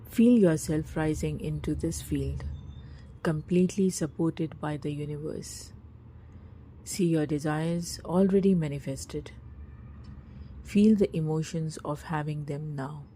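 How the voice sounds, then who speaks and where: Low, mellow woman guiding a meditation. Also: slight background noise, low-quality recording. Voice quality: soft, breathy, husky. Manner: slow, soothing, hushed delivery with long pauses. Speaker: meditation guide. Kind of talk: scripted guided meditation narration.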